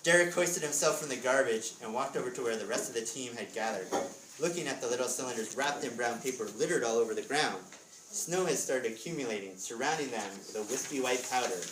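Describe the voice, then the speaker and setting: A man reads a story aloud in an expressive storybook style, slipping into casual asides. His voice sits at a middling pitch and is picked up from a distance in an echoey room with faint noise. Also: a little fast. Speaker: author reading from his book at a school readers theatre.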